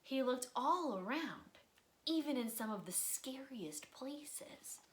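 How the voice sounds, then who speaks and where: A woman reading a picture book aloud for children, expressively, with dramatic pauses and drops into breathy near-whispers for suspense. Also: high-pitched, animated, a little hiss or hum. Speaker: volunteer storybook reader. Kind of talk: children's read-aloud storytime narration.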